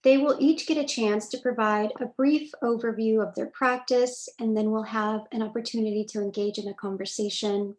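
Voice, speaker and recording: A woman moderating a virtual talk, reading a scripted introduction in measured, formal phrasing over a video call. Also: mid-range voice, a little mellow, rough recording.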